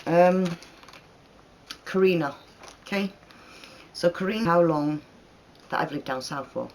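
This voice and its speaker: Host in their 30s with a mixed northern/southern English accent, rambling, chatty, quick to laugh. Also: mid-range voice, a little hiss or hum, rough recording. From northern England. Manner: chatty and rambling, laughs often, lots of fillers. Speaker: channel host answering viewer questions. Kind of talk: casual Q&A chat.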